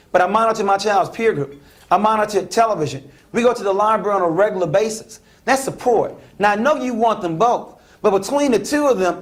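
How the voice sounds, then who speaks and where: An American man giving an educational lecture, explaining his points emphatically and posing rhetorical questions. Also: high voice.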